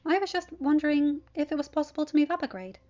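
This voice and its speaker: A woman's voice: high-pitched, fast, mellow, voice pushed up, lightly acted. A woman voicing a polite, earnest, slightly awkward teenage boy in a story reading, speaking respectfully and candidly with some hesitation. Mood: hesitant, polite. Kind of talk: audiobook character voice for fan fiction. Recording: dull lo-fi home recording.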